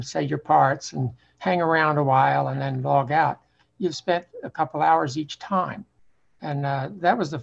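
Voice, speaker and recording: An older man talking casually in a halting, explanatory way over a video call from a home office. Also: a little high, gravelly. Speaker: amateur actor new to the stage. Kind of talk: casual reflective talk. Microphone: computer on a video call. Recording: dull lo-fi video call audio.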